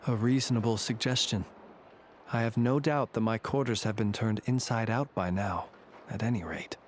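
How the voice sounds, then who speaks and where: An actor playing a courteous, secretive lantern keeper in a video game, speaking in a formal, measured, wry way. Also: male voice, lowish voice, a little hiss or hum, lightly acted. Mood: dryly wry. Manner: formal, measured, dryly ironic.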